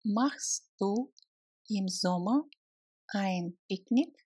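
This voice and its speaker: A woman's voice: mid-range voice, very slow, a little mellow, slightly rough, no room sound, clean studio sound. A woman language teacher drilling short phrases, saying each one, breaking it into chunks, then repeating it. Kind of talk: slow phrase drill with translations.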